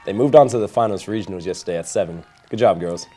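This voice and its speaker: A teenage boy presents the sports segment of a student news show. He talks quickly in a low, mellow voice and jokes around between results. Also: soft background music. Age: teens. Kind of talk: scripted sports roundup.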